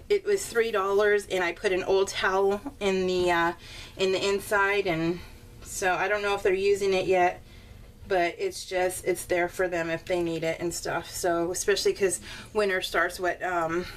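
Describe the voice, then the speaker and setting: A woman's voice: mid-range voice, flat delivery, a little slow. An American woman chatting casually in a rambling, giggly, conversational way, as the host of a homemade craft vlog. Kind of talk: casual chatty vlog voiced in real time. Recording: slightly distant mic, faint room noise.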